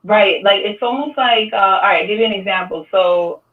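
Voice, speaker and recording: High-voiced New York man, tinny and distant. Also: moderate pace. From Dominican Republic, raised in New York City. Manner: relaxed, reflective, many fillers. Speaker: artist. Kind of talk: casual panel discussion. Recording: tinny, distant phone-like sound.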